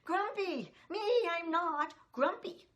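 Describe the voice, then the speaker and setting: A woman voicing a grumpy, stubbornly defensive male monkey in a children's storybook, loud and indignant. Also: very high voice, lively intonation, takes it slowly, voice pushed up, exaggerated voice. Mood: indignant denial.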